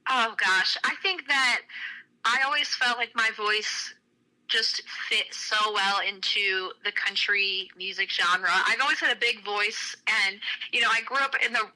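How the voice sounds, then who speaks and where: A woman's voice: mid-range voice, steady tone, measured pace, bright, forceful tone, rough recording. A woman in her twenties from Cleveland, Ohio, a singer-songwriter talking in a bubbly, effusive way with lots of fillers in a casual radio interview. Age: 20s.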